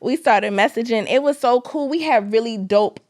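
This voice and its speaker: A woman, co-hosting a podcast and telling animated stories between bursts of laughter. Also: fairly high pitch, moderate pace. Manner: animated storytelling, laughs often. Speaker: podcast co-host. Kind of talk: casual chat.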